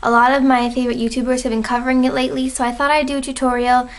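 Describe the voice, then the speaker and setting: A woman's voice: sits high, little pitch movement, racing, echoey room. A young woman with a cold, sniffling as she gives a casual guitar tutorial from her bedroom. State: sick, sniffling. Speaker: guitar teacher. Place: small bedroom.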